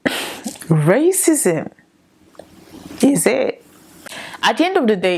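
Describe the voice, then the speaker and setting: Nigerian woman musing aloud as host. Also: mid-range voice. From northern Nigeria. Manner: reflective, asks many rhetorical questions. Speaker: podcast host. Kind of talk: solo opinion commentary to camera.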